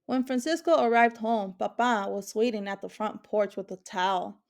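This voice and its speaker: A woman's voice: medium pitch, fairly even intonation, moderate pace, creaky. A woman reading a children's picture book aloud as a storyteller. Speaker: story reader.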